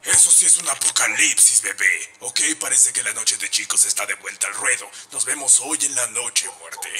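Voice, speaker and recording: Man playing a low, domineering apocalypse horseman. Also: moderate pace, bright, forceful tone. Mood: smug. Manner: menacing, scornful, grandiose. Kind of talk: animated cartoon dub. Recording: noticeable background noise.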